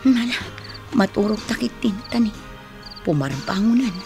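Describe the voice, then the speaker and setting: A woman's voice: mid-range voice, expressive, moderate pace, over music, natural voice. A woman playing a patient, pious wife who soothes and pleads, in an Ilocano radio drama. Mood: pleading, soothing. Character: patient, pious wife calming her husband.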